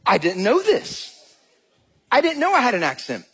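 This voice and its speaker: A man from Georgia in his thirties with a Southern accent, a pastor preaching a sermon and telling animated, joking stories to the congregation. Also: very high-pitched, moderate pace, slight background noise. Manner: animated, jokey storytelling, addresses crowd as y'all. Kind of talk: sermon with storytelling.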